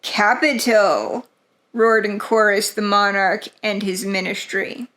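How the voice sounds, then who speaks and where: A woman giving an atmospheric, theatrical narration of a gothic horror story, in a deliberate storytelling manner. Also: mid-range voice, level tone, fairly bright tone.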